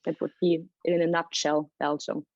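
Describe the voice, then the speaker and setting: A woman's voice: low-pitched, little pitch movement, dry recording. Woman explaining research, dry dull sound. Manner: explanatory, thinking aloud with pauses. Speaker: report author presenting research. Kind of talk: panel presentation at a report launch.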